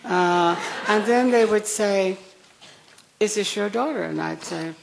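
An older woman with a soft voice, speaking frankly and wryly on a discussion panel. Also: mid-range voice, slow pace, gravelly, slight background noise. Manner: frank, wry, chuckling asides.

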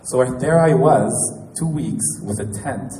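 A pianist and composer from Quebec with a Québécois French accent, introducing his show in a halting, casual way with restarts. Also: mid-range voice, warm tone. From Quebec, Canada. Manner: halting, with restarts and light laughs. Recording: echoey hall from far off, noisy.

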